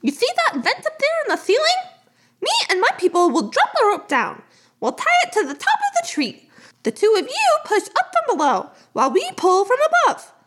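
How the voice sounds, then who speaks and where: Woman playing a squeaky bossy mouse sergeant. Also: wildly swooping pitch, fairly bright tone, heavily acted. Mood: eager. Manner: commanding, brisk orders.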